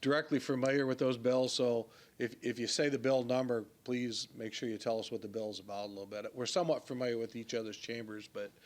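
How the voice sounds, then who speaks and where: A man, a state senator, giving brisk, friendly remarks at a public forum. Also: mid-range voice.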